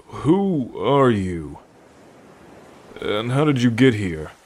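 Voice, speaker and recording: A man's voice: medium pitch, big pitch swings, a little mellow, heavily acted. A man playing a wary, curious merman in an ASMR roleplay, speaking hesitantly and full of questions to the listener. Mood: puzzled, suspicious. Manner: slow, hesitant, questioning delivery. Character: wary, curious young merman, gruff rescuer.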